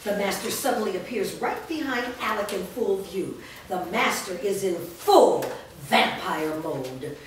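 A woman's voice: medium pitch, a little hiss or hum. Woman reading stage directions in an echoey hall. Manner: steady, descriptive. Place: large studio room. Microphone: far camera mic. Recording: echoey room from far off.

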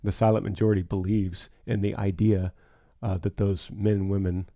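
A man's voice: lowish voice, flat delivery, normal speed, creaky, mellow. A man co-hosting a podcast, talking in an earnest, emphatic and reflective way. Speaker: podcast co-host. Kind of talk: casual podcast talk.

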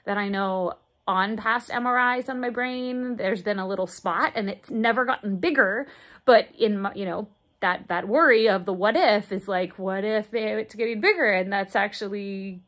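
A woman in her thirties records a casual video diary on a phone at arm's length in her bedroom. She speaks in a halting way, with frequent fillers as she hunts for the right words. Her pitch sits at a middle level for a woman. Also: a bit bright. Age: 30s. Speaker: vlogger. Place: bedroom indoors, moving boxes behind. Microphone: phone held at arm's length.

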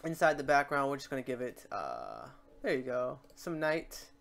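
A man hosting a casual software tutorial, informal and halting as he thinks aloud. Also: mid-range voice, wildly swooping pitch, gravelly. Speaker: tutorial host. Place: indoors at a computer, keyboard typing behind.

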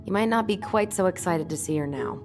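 A woman's voice: lowish voice, expressive, fairly quick, music in the background. An actress playing a guarded, wry young American woman in a video game, talking in a subdued, dry way. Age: young adult. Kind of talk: naturalistic video game dialogue.

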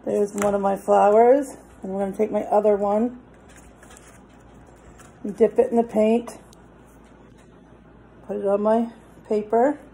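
A middle-aged woman giving children informal step-by-step craft instructions, plainly, pausing as she demonstrates. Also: medium pitch, flat delivery, gravelly, dark tone, rough recording. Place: indoors, dining table in a home.

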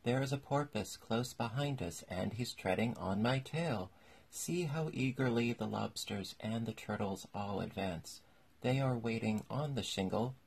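A man's voice: mid-range voice, measured pace, gravelly, darkish tone, husky. A man voicing a melancholy, sentimental turtle creature from a storybook, solemn and mournful in manner. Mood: solemn, sad.

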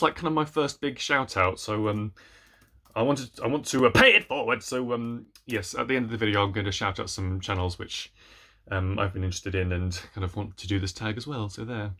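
Low-pitched young man, chatty book vlogger. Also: normal speed, a bit bright. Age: young adult. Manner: hesitant, chatty, self-deprecating. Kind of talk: casual chat to camera. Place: small room at home, indoors.

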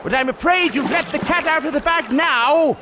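An actor playing a posh, unflappable old-fashioned British military chap in a video game, with chummy understatement and an upper-class accent. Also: male voice, very high-pitched, moderate pace, very noisy. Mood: dryly chipper. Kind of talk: video game cutscene acting.

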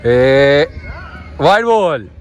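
A young man vlogging casually and calling a match excitably, repeating short calls. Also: on the high side, extremely slow. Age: young adult. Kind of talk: casual vlog chat and match commentary. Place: outdoor netted cricket turf at night. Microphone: handheld phone at arm's length.

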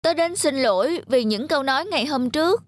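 A woman's voice: high voice, expressive, a little fast. A woman voicing an outspoken, naive little village schoolboy in cartoon dialogue. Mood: remorseful. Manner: blunt, argumentative.

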